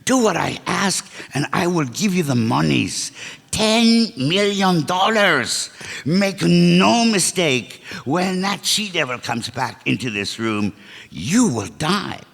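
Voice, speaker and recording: A man's voice: on the high side, big pitch swings, slow, vocal fry, fairly bright tone, exaggerated voice. An actor playing a frightened, scheming old henchman in a stage radio play, pleading and repeating himself in formal phrases. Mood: urgent, pleading. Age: older. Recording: echoey stage hall recording.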